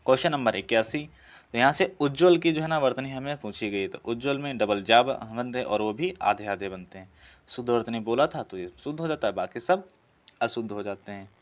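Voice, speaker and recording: A man's voice: mid-range voice, brisk. A man gives an instructional walkthrough of practice questions. He explains each answer as a teacher would, often repeating the key word.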